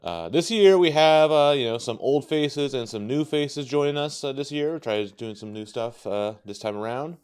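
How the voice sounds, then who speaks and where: A man's voice: medium pitch, moderate pace, hardly any pauses, a little mellow, clean tone. A man hosting a casual podcast, rambling with lots of fillers and easy laughs. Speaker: podcast host.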